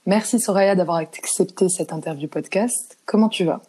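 A woman's voice: fairly low pitch, normal speed, warm tone, slightly rough. A woman hosting a conversational podcast interview as the interviewer.

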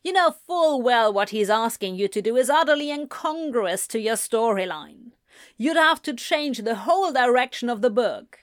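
A woman voicing an indignant, protective, no-nonsense woman with a brisk, insistent manner, in a story reading. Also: a little high, animated, dry recording, studio quality, natural voice.

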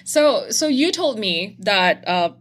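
A woman hosting a podcast, chatting casually, excitable and gushing. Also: medium pitch, takes it slowly. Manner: excitable, gushing, laughs often. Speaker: podcast host. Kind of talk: casual interview chat.

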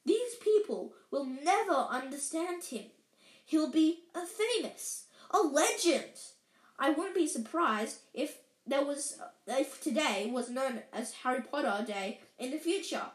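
A woman's voice: high-pitched, lively intonation, a little slow. A woman voicing a stern, anxious elderly woman professor who stammers and restarts in tearful, breathless outbursts. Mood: indignant. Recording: roomy echo.

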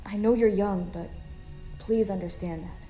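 A woman's voice: mid-range voice, fairly even intonation, moderate pace, warm tone, faint music behind, close to an everyday voice. A woman playing a starship officer who is firm but kind with a young crewman. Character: caring, steady female starship officer. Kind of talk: audio drama acting.

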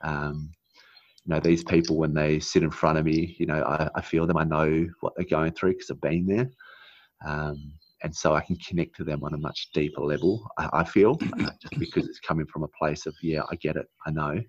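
A man raised in Australia after a New Zealand childhood, speaking as an interview guest in an earnest, halting way with many fillers. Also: extremely low pitch, steady tone, no room sound, muffled recording. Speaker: guest, naturopath. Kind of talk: casual interview chat.

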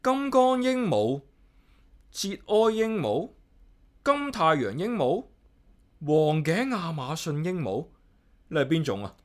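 An actor playing a solitary, caring young office worker with a Hong Kong accent, narrating and chatting casually in a radio drama. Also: male voice, fairly high pitch, very animated, takes it slowly, long pauses, a little mellow. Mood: teasing, curious. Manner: casual, talks playfully to himself and pet. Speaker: lead character and narrator. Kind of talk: radio drama dialogue and inner-monologue narration.

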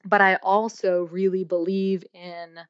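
A woman's voice: medium pitch, little pitch movement, a little slow, clear, resonant tone, no room sound. Thoughtful woman coach, dull lo-fi sound. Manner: thoughtful, searching, with frequent fillers. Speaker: guest, coach and energy healer. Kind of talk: reflective interview talk.

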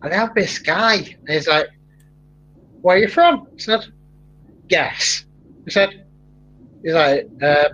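A man's voice: slightly rough, muffled recording. A man from Manchester with a broad Mancunian accent, chatting casually and animatedly, often laughing and telling stories. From England (Manchester). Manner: animated storyteller, laughs often, rising pitch when excited. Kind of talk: casual chat.

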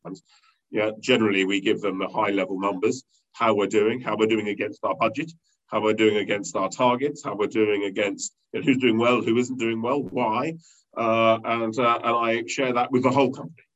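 A man leading a company, talking through an interview in a rambling way with many fillers and restarts, with a loud voice. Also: fairly low pitch, steady tone, moderate pace. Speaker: company leader interviewed as guest. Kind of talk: conversational business interview. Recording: dull lo-fi sound.

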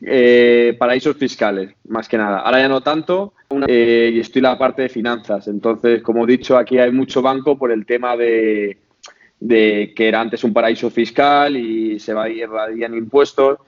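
A man's voice: medium pitch, steady tone, moderate pace. Guest, man, late 20s, Madrid accent, hesitant and chatty, dull lo-fi audio. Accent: Spain Spanish. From Spain, Madrid. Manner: hesitant, many fillers and restarts. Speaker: podcast guest. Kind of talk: casual interview chat.

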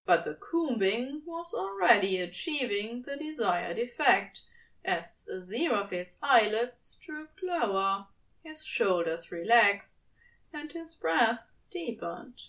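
A woman's voice: a little high, sing-song, slow, fairly bright tone, recorded from a distance, poor audio. A woman reading scripted fiction aloud as the narrator. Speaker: podfic narrator.

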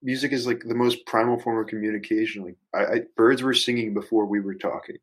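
A man chatting casually as a musician guest on a podcast, thinking out loud in a rambling, hesitant, reflective way and swearing casually. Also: fairly low pitch, steady tone, fast talker, low-quality recording.

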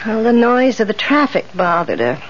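An actress playing an anxious, fretful rooming-house landlady with a breathy voice in a 1970s radio drama. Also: fairly high pitch, very animated. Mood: polite, uneasy. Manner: fluttery, halting, anxious. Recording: dull 1970s radio recording.

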